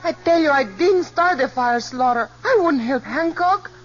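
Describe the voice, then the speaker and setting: A woman's voice: sits high, lively intonation, normal speed, over music. An actress playing a fiery, coaxing outlaw's girl in a 1950s radio western, pleading and petulant. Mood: protesting. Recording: muffled 1950s radio broadcast.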